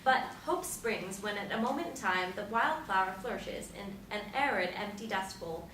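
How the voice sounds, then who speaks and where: A woman's voice: mid-range voice, animated, normal speed, fairly bright tone, close to an everyday voice. Echoey actress as lyrical aging Beat woman. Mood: reflective, hopeful. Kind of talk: stage play dialogue. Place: live stage performance recorded from a distance. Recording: roomy, distant mic, faint noise.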